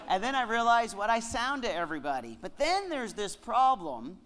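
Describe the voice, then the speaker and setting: A Canadian man with a slight Southern twang, giving a joking sermon-style lecture with slow, deliberate emphasis on key words. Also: high-pitched, very animated, a bit bright. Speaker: guest speaker at a Bible lectureship.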